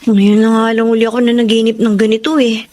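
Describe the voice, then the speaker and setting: A woman's voice: fairly high pitch, little pitch movement, fast talker, darkish tone, close to an everyday voice. A woman playing a chatty, extroverted twin sister in a Filipino radio drama, playful and joking. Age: 30s.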